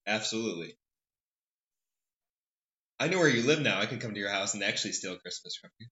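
A man's voice: lowish voice, expressive, a little fast, bright, forceful tone. A man co-hosting a podcast in casual chat, with dry, teasing remarks. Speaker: podcast co-host. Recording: echoey room, dull lo-fi sound.

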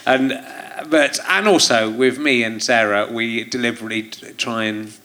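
Bright-voiced male host, teasing. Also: medium pitch, normal speed. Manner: teasing, rambling, laughs at his own jokes. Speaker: podcast host. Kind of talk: casual chat interviewing a guest. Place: theatre stage.

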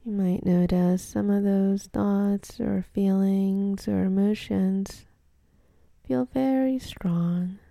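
A woman guides a meditation in a very soft, mellow voice, half-whispered and gentle. She speaks slowly, leaving space between short instructions. The sound is dry and close, with no room around it. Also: medium pitch, steady tone, creaky, dry recording. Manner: gentle, unhurried instruction with pauses.

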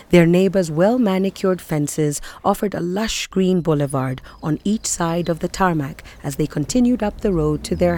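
A woman's voice: fairly low pitch, dark tone, close to an everyday voice. A woman reading scripted narration from a novel.

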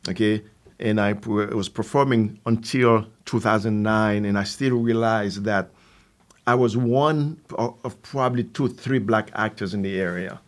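A man in his sixties with a Haitian accent, answering interview questions conversationally in a halting way with repeated words and asides. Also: on the low side, fairly even intonation. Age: 60s. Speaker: theater company founder, guest.

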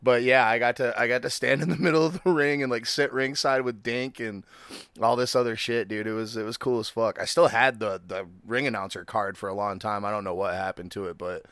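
A man's voice: medium pitch, fairly even intonation, fairly quick, a bit bright, dry recording. A man in his thirties hosting a podcast, chatting casually, swearing freely and laughing often. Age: 30s. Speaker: podcast host. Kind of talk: casual chat.

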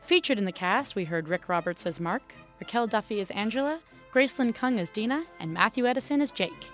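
Female announcer, medium pitch, scripted credits read, light music bed. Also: animated, brisk. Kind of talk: scripted narration.

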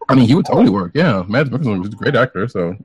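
A man's voice: mid-range voice, very animated, racing, slightly rough, no room sound, lo-fi sound. A man co-hosting a podcast, chatting casually about entertainment news in a tentative, hedging way. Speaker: podcast co-host. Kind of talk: casual comic book news chat.

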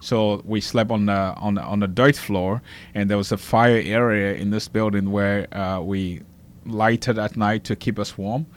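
Low-voiced Liberian man telling his story. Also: steady tone, measured pace. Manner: earnest storytelling with a faith focus. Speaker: guest. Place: studio.

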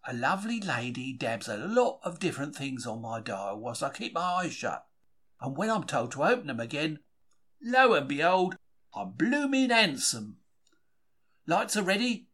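A British man with a fairly high voice reads a diary in the first person. His pitch swings in a very lively way, and his telling is wry and colloquial, full of old-fashioned slang. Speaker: reader of a diary for a podcast. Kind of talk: scripted first-person narration, dramatised diary reading.

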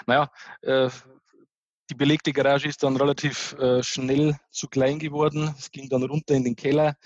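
A man's voice: medium pitch, little pitch movement, normal speed, no room sound, muffled recording. A man with a Bavarian accent, a start-up founder, telling his story as a guest in a casual interview, with many fillers and restarts.

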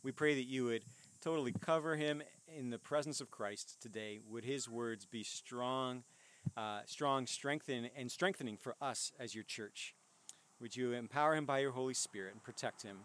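A man's voice: medium pitch, moderate pace, a bit bright. A man who is a pastor, welcoming listeners, introducing a guest preacher and praying. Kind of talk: church welcome and prayer.

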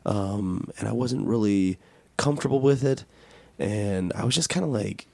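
An American man co-hosting a talk podcast in casual chat, joking and self-deprecating, with a raspy voice from a cold. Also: fairly low pitch, lively intonation, normal speed, dark tone, no room sound. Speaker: podcast and radio show co-host.

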